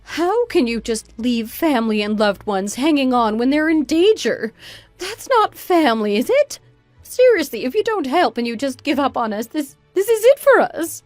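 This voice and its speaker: A woman's voice: high-pitched, sing-song, moderate pace, a little mellow, no echo, faint music behind. A woman playing a manipulative, self-pitying older mother-in-law who wheedles in a melodramatic radio drama. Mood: pleading, indignant. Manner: wheedling, guilt-tripping. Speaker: voice actor in an online radio drama.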